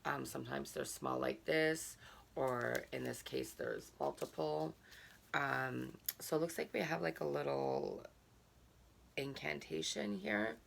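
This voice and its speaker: A hoarse woman with a gravelly voice, chatting through an unboxing with many fillers and reading a leaflet aloud. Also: extremely low pitch, sing-song, slow, a little hiss or hum. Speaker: subscription box reviewer. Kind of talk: casual unboxing chat, reading a card aloud.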